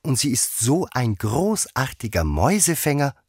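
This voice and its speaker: A man's voice: medium pitch, very animated, normal speed, a little mellow, husky, no room sound, studio quality, pitched above the performer's own voice. A man voicing an eager, polite young girl in a children's fairy tale, earnest and gushing. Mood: eager, apologetic.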